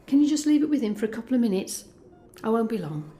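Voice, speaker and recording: A woman's voice: fairly high pitch, big pitch swings, fast, mellow, faint music behind. A woman playing an anxious but determined young escort in an intimate, conversational audio drama monologue. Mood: tender, nervous. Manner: confiding, conversational.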